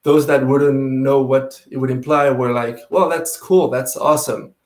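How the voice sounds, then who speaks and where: Young male entrant, halting delivery, echoey room. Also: mid-range voice, steady tone. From Quebec, Canada. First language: French and Spanish. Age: young adult. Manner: hesitant, many fillers and restarts, eager. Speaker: sailing race entrant. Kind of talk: casual video call interview. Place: home room indoors. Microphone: video call. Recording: roomy video call audio.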